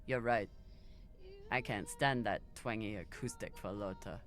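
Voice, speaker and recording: A woman's voice: rumbling low, measured pace, soft background music. An actress playing a guarded, blunt woman captain in a dramatic sci-fi video game. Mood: dry, blunt. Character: guarded, stern, blunt female space captain.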